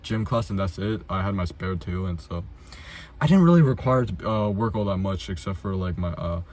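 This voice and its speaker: A man's voice: low-pitched, animated, moderate pace, a little mellow. A young adult man chatting casually and unscripted as a video host, blunt, self-deprecating and full of slang and swearing. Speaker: amateur filmmaker, video host.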